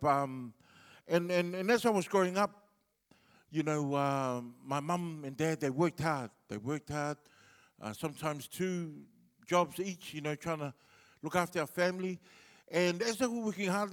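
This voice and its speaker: Nz guy, samoan first language, rambly stand-up story, kinda high voice, big echoey hall. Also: expressive, moderate pace. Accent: New Zealand. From New Zealand. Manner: laid-back and rambling, with many fillers. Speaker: comedian.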